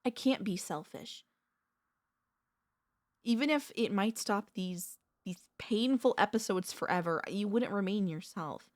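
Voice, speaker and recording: A woman voicing a centuries-old vampire mistress, a tender, possessive girlfriend, speaking softly and intimately with courtly wording and hesitant pauses in an ASMR roleplay. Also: mid-range voice, no echo, studio quality, lightly acted. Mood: tender, confiding. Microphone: close studio microphone, dry.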